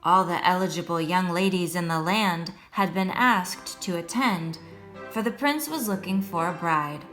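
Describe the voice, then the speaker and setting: A woman reading a children's story aloud as a storyteller, in a measured, expressive way meant for young listeners. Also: on the low side. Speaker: storyteller, first-grade teacher. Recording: music behind the voice.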